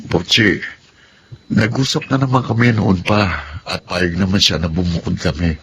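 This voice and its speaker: An actor playing a frustrated young husband of thirty who complains in an exasperated way. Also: male voice, low-pitched, moderate pace, a little hiss or hum. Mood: rueful. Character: young husband Nathan, 30, frustrated, wants independence. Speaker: husband in radio drama. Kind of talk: Filipino radio drama acting.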